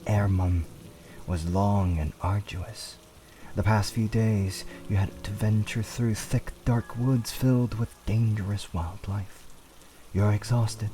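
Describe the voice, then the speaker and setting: A man's voice: fairly low pitch, dark tone, far from the mic, slight background noise. A man narrating a scripted fantasy tale in a dramatic storytelling style.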